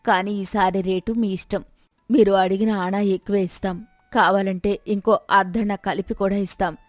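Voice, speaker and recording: A woman's voice: medium pitch, flat delivery, moderate pace, dry recording, soft background music, close to an everyday voice. A woman voicing contrite, pleading villagers in an earnest manner for a story.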